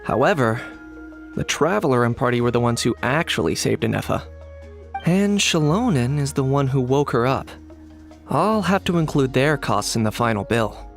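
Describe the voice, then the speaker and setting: An actor playing a businesslike negotiator in a video game, formal and precise about money. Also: male voice, mid-range voice, animated, moderate pace, vocal fry, faint music behind. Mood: matter-of-fact. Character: brisk, businesslike negotiator minding the bill.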